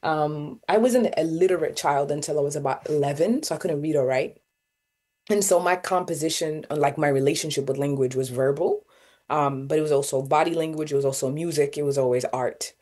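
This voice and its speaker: A woman's voice: deep voice, brisk, darkish tone. A young woman from Sudan whose first language is Arabic, speaking thoughtfully as an interview guest, with many fillers and restarts. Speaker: guest poet and artist. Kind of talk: reflective interview talk.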